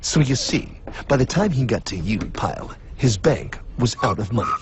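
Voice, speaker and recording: A man voicing a solemn, pompous male mystery-show narrator in a cartoon, formal and lecturing with dramatic pauses. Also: medium pitch, animated, slight background noise, close to an everyday voice. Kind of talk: cartoon voice acting. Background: music.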